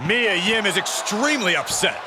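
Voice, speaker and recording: A man's voice: sits high, expressive, moderate pace. A man playing a ringside wrestling commentator in a video game, reacting to the action amid heavy crowd noise. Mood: surprised. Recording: loud crowd and arena noise.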